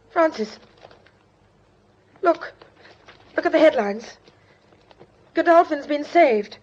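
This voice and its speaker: High, breathless, overwrought Englishwoman. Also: lively intonation, extremely slow, long pauses, darkish tone, highs cut off, heavily acted. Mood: panicked, distraught. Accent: British. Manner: breathless, agitated, theatrical. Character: anxious, overwrought wife, brittle and near panic. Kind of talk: British radio drama acting.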